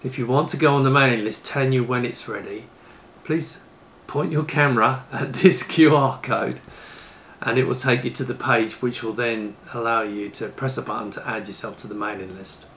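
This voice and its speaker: A man's voice: medium pitch, fairly bright tone, slight background noise. A man, presenting a casual retro computing vlog. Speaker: YouTube presenter and computer case maker. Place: indoor rooms with shelves of retro computers.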